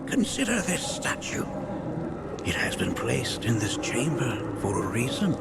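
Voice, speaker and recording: An actor playing an eccentric, wise old mentor in a video game, with formal, measured, old-fashioned speech. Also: male voice, mid-range voice, big pitch swings, creaky, heavy background noise. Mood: grave, didactic. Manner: formal, archaic, measured, lecturing with chuckles. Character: eccentric, wise old male elder mentor, playful yet solemn. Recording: loud music over voice.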